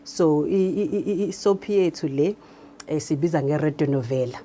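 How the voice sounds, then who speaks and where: A South African woman with a low, mellow voice talks in an interview. She explains things as a director would and code-switches freely, over faint noise. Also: fairly quick. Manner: explanatory, switches between Zulu and English. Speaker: radio drama director. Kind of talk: interview talk.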